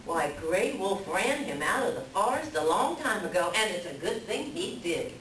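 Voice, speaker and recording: A woman playing an excitable, indignant raven with theatrical exclamations and harsh caws in a readers theater folktale. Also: medium pitch, normal speed. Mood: scornful, indignant. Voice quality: harsh cawing outbursts. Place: library room at a live reading. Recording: roomy, distant live recording with noise.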